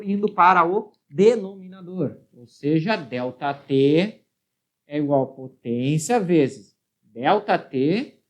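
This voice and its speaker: A woman with a Brazilian accent explaining a worked physics exercise step by step as a teacher, often adding short check questions. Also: medium pitch. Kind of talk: step-by-step lesson at a board.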